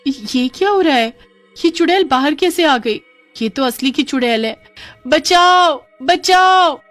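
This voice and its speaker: A woman voicing a headstrong, excitable young girl in a cartoon, exclaiming in a childlike way. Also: high-pitched, measured pace, dry recording, pitched above the performer's own voice. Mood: terrified. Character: headstrong movie-mad young girl, boastful yet easily frightened. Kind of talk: Hindi cartoon voice acting. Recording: music under the voice.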